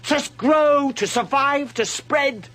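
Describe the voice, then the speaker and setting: A man playing an agitated, fanatical scientist at a polar research station in an audio drama, halting and stammering as he explains intensely. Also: extremely high pitch, fairly bright tone, full character voice. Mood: fanatical, desperate.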